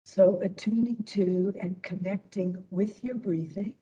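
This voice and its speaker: A woman instructor leading a guided meditation in a soothing manner, with long pauses between short phrases. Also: medium pitch, steady tone, dark tone, far from the mic, muffled recording.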